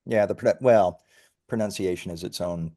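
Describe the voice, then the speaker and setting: A man's voice: low voice, moderate pace, dark tone. A man speaking as a company founder in an interview, explaining conversationally with emphatic, self-correcting phrasing.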